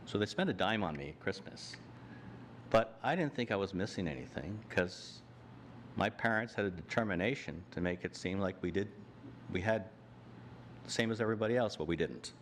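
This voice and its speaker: A man's voice: low voice, expressive, normal speed, a little hiss or hum. An older man giving a plainspoken personal talk on a panel, walking through his slides with frequent restarts. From Canada. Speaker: panel speaker. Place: hall with audience.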